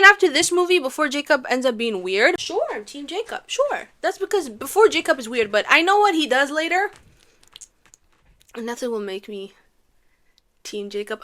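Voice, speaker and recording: A young woman reviewing a movie in a casual comedic vlog, animated and sarcastic, ranting with rhetorical questions. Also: high-pitched.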